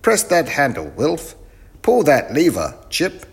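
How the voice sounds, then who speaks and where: Excitable, high-pitched muddled professor. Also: male voice, a little slow, creaky, breathy edge, heavily acted. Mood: bossy, excited. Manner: loud, exclamatory, mishears and blunders on cheerfully. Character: excitable, muddled, hard-of-hearing old male professor inventor.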